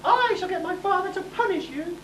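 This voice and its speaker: A woman's voice: very high-pitched, brisk. A woman playing a fussing, overdramatic pantomime character on an amateur stage, full of exclamations. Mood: defiant. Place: amateur stage show in a hall, audience behind. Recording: echoey hall, far mic, audience noise.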